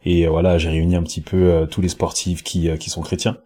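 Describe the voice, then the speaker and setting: Very deep dark husky male voice. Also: level tone, fast. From Congo. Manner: hesitant, many fillers and repeats, laughs easily. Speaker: professional basketball player, podcast guest. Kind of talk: casual interview talk. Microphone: home podcast mic with headset.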